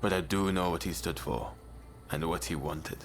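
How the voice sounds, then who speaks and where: An actor playing a stern, loyal, brooding samurai in a video game, speaking in a terse, measured and earnest way. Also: male voice, deep voice, slight background noise. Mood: grave, earnest. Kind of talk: video game cutscene acting.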